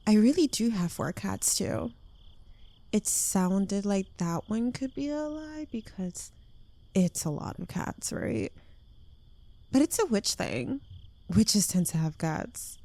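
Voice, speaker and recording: Woman acting a slow, creaky-voiced possessive witch girlfriend. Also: mid-range voice, expressive, dark tone, dry recording. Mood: clingy, unhinged sweetness. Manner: coy, teasing, lingering delivery dropping into breathy whispers. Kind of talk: intimate ASMR roleplay, addressed to the listener.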